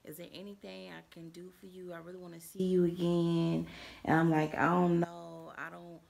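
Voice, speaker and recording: Gravelly woman rambling through a storytime. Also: lowish voice, little pitch movement, normal speed, darkish tone. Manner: rambling, full of fillers and asides to viewers; acts out quoted dialogue in a raised voice. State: stuffy and under the weather. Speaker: vlogger telling a personal story. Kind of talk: casual storytime chat.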